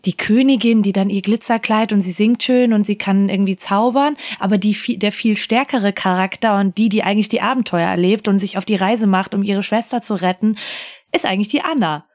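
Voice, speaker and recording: A woman's voice: mid-range voice, fairly quick, darkish tone. A woman co-hosting a podcast, chatting casually in long, run-on sentences. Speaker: podcast co-host. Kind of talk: casual panel chat.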